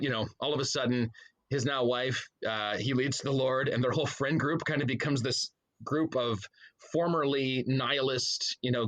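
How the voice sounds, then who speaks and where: A man's voice: medium pitch, a bit bright. Rambling man chatting as a podcast guest. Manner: rambling, self-interrupting storyteller with frequent trailing asides. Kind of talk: casual interview chat.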